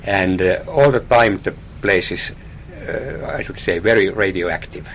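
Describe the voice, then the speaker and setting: Deep-voiced radio hobbyist. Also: male voice, lively intonation, unhurried, gravelly, slight background noise. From Finland. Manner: hesitant, repeats words, laughs lightly. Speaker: radio listener guest. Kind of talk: casual radio interview.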